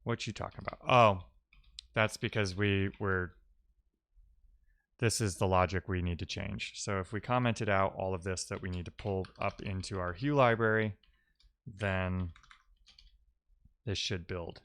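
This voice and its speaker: A man's voice: sits low, steady tone, unhurried, long pauses, a bit bright. A man giving casual, think-aloud commentary as a live-coding streamer, often muttering to himself and trailing off.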